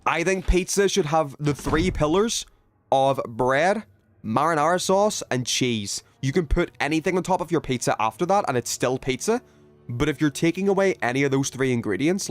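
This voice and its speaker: A man's voice: medium pitch, moderate pace, soft background music. A man from the UK chatting casually to his viewers while gaming, with an emphatic, opinionated, sweary way of talking. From United Kingdom. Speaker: video game livestreamer.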